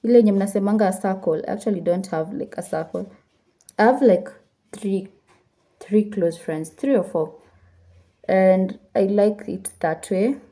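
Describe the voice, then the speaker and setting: A woman's voice: mid-range voice, normal speed, dark tone. A woman, chatting casually to her viewers while she does her makeup, slipping Swahili phrases into her English. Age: 20s. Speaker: lifestyle YouTuber. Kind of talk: chatty get-ready-with-me talk while doing makeup. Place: indoors at home.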